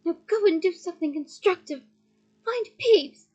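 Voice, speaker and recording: A woman's voice: very high voice, expressive, normal speed, a little mellow, highs cut off. A woman voicing a stern, commanding elderly witch in a storybook reading. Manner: commanding, clipped.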